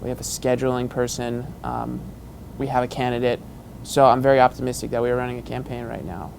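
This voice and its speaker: Man, gravelly, monotone, hesitant, halting, campaign staffer, faint rumble. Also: rough recording. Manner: halting, hesitant, many fillers. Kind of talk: documentary interview.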